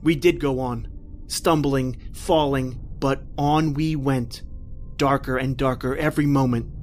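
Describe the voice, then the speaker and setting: Male actor as haunted horror-radio narrator: desperate, intense, dramatic drawn-out phrasing, music underneath. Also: mid-range voice, steady tone, darkish tone, natural voice. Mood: haunted, anguished. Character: terrified man recounting a flight from a monster, haunted, desperate.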